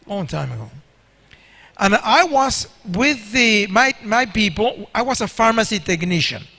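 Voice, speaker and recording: High bright-voiced older preacher in reverberant hall. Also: male voice, big pitch swings, a little slow, vocal fry. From Spanish-speaking country. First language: Spanish. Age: 60s. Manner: storytelling, asks the congregation questions. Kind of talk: sermon. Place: large church hall.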